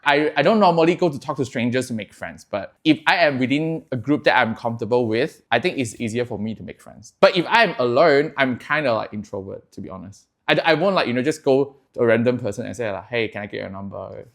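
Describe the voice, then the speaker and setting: A young Malaysian man speaks in casual chat with a Malaysian accent, his first language being Cantonese. His voice sits at a middle pitch. He talks frankly and laughs easily. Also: lively intonation, quick pace, few pauses, a bit bright. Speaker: podcast guest.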